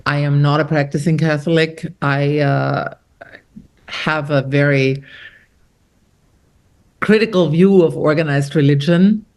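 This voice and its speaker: A middle-aged woman from Austria, an author talking about her novel in an interview, animated and quoting others as she tells stories. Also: sits low, unhurried, pauses often. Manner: animated storyteller, quotes others with lively shifts. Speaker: author guest. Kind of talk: conversational interview.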